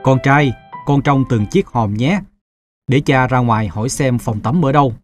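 A man voicing a grave, protective middle-aged merchant father in an animated fairy tale. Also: mid-range voice, moderate pace, soft background music, lightly acted. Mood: cautious.

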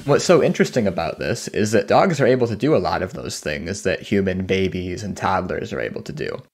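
A man speaking as a scientist being interviewed, explaining his work in a thoughtful way with carefully measured wording. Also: low voice, very animated, darkish tone, soft background music.

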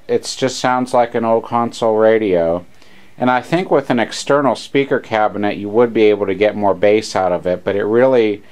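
A man giving a casual, plain-spoken repair demonstration, rambling along unscripted as he presents. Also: on the low side. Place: small shop, indoors. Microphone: handheld camera, operator behind it.

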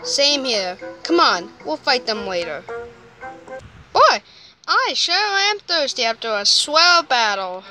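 A woman with a high, bright voice acts out cartoon characters in an amateur comic dub. Her delivery is slow, and music plays under the voice. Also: sing-song, rough recording.

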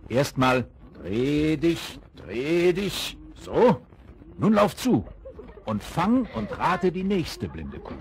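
A man's voice: a little high, huge pitch swings, drawn-out words, gravelly, full character voice. A man playing a jovial father running games at a children's party in a 1970s radio play. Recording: hissy old vinyl record.

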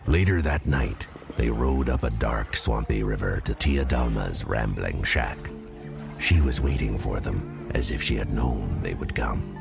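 An extremely deep-voiced man narrates a scripted adventure with dramatic suspense. His voice has a gravelly creak, and loud music runs underneath. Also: unhurried.

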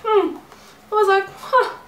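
A woman's voice: extremely high pitch, fairly even intonation, slow, fairly bright tone, roomy sound, recorded from a distance. A woman in her twenties with a Portuguese accent, chatting in a rambling, self-mocking way while doing her makeup in her bedroom. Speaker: beauty vlogger. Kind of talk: chatty get-ready-with-me vlog. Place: bedroom at home.

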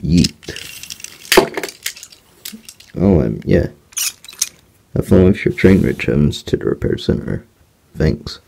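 A man's voice: deep voice, expressive, takes it slowly, gravelly, long pauses, poor audio. A young man voicing an amateur toy train story, talking in a casual, offhand way with internet slang. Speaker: toy train video narrator. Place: small indoor room. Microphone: phone camera held close. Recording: loud background noise, home phone recording. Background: loud noise and clicks.

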